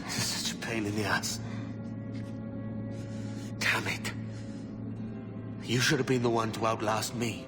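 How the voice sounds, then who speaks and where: Man acting a desperate pirate under loud music. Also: lowish voice, steady tone, measured pace, pauses often, close to an everyday voice. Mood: pained, pleading. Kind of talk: dramatic video game acting.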